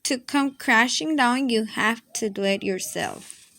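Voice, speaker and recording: Slow, high-voiced woman reading a storybook. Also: bright, forceful tone, no room sound. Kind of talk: read-aloud children's storybook narration.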